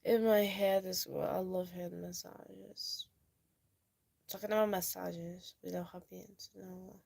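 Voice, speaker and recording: Young woman, low creaky voice for a rambling chat vlog. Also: fairly even intonation, unhurried, darkish tone. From Peru. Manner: meandering, lots of fillers. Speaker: YouTuber.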